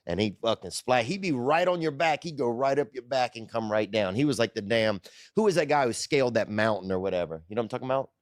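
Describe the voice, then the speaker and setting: A man's voice: medium pitch, animated, fast talker, dry recording, studio quality. A man telling funny stories in a casual, crude, slangy buddy style full of swearing. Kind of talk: casual comedic storytelling.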